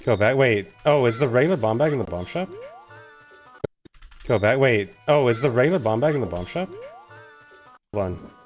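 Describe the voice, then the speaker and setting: A man's voice: mid-range voice, animated, normal speed, pauses often, soft background music. A man streaming a game live, getting over a cold and muttering to himself as he plays. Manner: mutters to himself while playing. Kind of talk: casual live-stream commentary.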